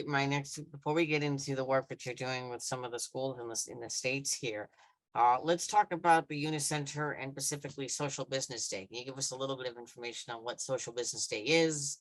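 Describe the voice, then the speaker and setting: A man hosts a live video podcast, stumbling and restarting as he asks questions. His voice is plainly pitched and sounds dry over the call. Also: a little fast, fairly bright tone, dry recording, low-quality recording. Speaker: podcast host. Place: indoors with virtual background.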